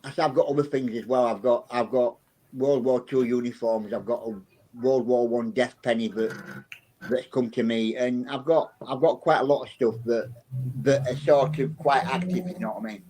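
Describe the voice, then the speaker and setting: A man's voice: mid-range voice, fairly even intonation, moderate pace, a little mellow, a little hiss or hum, low-quality recording. A man from England with a South Yorkshire accent, a psychic guest telling rambling anecdotes in a casual interview, often restarting his sentences.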